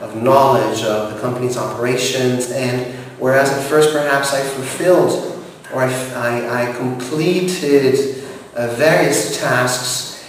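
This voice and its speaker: A French-speaking man from Montreal in his forties, answering interview questions in a formal, measured way with frequent hesitations. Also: medium pitch, far from the mic, slight background noise. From Montreal, Canada. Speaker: director of operations. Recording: echoey room, voice from off-mic with faint ambience.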